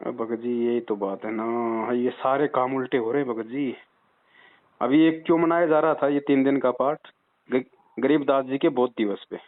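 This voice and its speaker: A man's voice: mid-range voice, fairly even intonation, moderate pace. A man on a phone call, giving religious advice in an insistent, admonishing way and pressing his points with rhetorical questions. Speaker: spiritual advisor taking a caller's doubts. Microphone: phone line. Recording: tinny, band-limited phone call recording.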